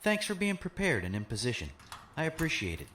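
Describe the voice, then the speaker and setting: An actor playing a courteous, oddly enthusiastic young prison guard in an audio drama. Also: male voice, on the high side, huge pitch swings, a little fast, heavy background noise. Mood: cheerfully polite. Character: polite, upbeat young male prison guard.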